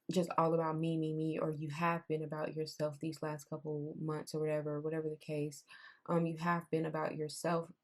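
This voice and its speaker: Low, flat-voiced woman reading tarot. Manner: conversational, repeats herself, says "I'm seeing" often. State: raspy voice. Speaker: tarot reader. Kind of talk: casual pick-a-card tarot reading.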